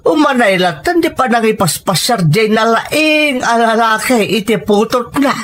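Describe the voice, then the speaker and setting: Woman playing a stern, animated old grandfather. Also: on the high side, normal speed, a little hiss or hum. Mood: indignant. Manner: scolding, indignant. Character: stern, resentful elderly grandfather in a family drama. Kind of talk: dramatic Ilocano radio-style storytelling.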